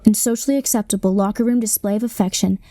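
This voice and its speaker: A young woman playing a serious, deadpan sports reporter in a satirical radio play. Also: mid-range voice, fast talker, dry recording, natural voice. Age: young adult. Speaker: student actor in a radio play.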